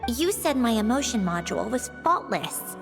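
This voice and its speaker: A woman's voice: fairly high pitch, very animated, moderate pace, creaky. A woman voicing a proud, sardonic female clockwork doll with a huffy, haughty manner in an anime video game. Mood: doubtful, pressing. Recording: loud music underneath. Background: background music.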